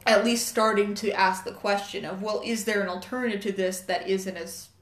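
A woman's voice: mid-range voice, steady tone, moderate pace. A young adult woman co-hosting a podcast, talking in a thoughtful, hedging way with many fillers and self-corrections. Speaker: co-host, company staff. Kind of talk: casual podcast chat. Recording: echoey room.

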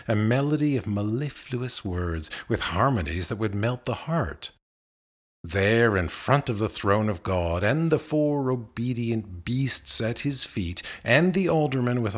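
Slow, low-pitched man reciting poetry expressively. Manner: measured, deliberate phrasing with expressive emphasis. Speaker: reader of poetry. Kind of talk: literary recitation of a narrative poem.

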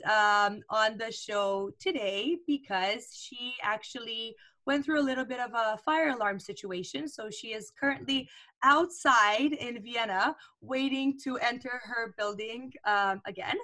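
A woman hosting a casual podcast with a bright, slightly high voice. She talks in a chatty way, leaning on fillers as she welcomes her guest. It has the relaxed feel of a conversation over a remote call. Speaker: podcast host.

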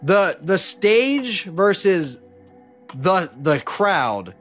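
A man's voice: high-pitched, very animated, drawn-out words, fairly bright tone, faint music behind. A man chatting casually as a podcast guest, telling stories about his life as a musician in a slangy, rambling way with frequent false starts.

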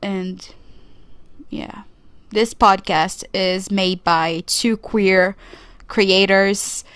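Slow-talking woman podcaster. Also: medium pitch, steady tone, fairly bright tone, clear, resonant tone, no room sound. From Brazil. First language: Portuguese. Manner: earnest, thoughtful, searching for words. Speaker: podcast co-host. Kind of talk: solo podcast commentary.

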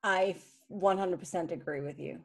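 A woman from Switzerland co-hosting a podcast, talking casually with animated restarts and fillers. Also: lowish voice, normal speed, vocal fry. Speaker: podcast co-host. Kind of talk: casual conversation.

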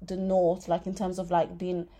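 A woman's voice: fairly low pitch, completely flat delivery, moderate pace, mellow. Chatty woman hosting a podcast. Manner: chatty, curious, asks questions, laughs easily. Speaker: podcast host. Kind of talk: casual chat.

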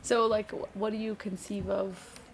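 A woman's voice: mid-range voice, level tone, moderate pace, gravelly, a little hiss or hum, low-quality recording. A woman working as an interviewer and narrator, moving between scripted narration and casual questions.

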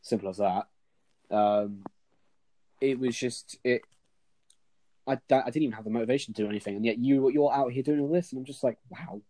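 Young guy doing a chatty interview, soft dark voice, kinda rambly, repeats himself, swears loads. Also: mid-range voice, brisk, long pauses. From England. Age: young adult. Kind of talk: casual chat.

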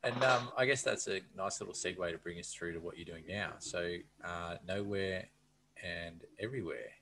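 A man hosting a podcast interview, asking questions in a relaxed, hesitant way with many fillers. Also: deep voice, normal speed, noisy background. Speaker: podcast host.

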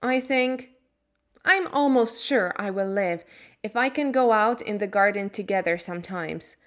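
A woman's voice: mid-range voice, a little slow, natural voice. A woman voicing a sickly, self-pitying young boy in a storybook, with a whining, fretful manner. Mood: tired, calmer. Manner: whining, tearful, indignant.

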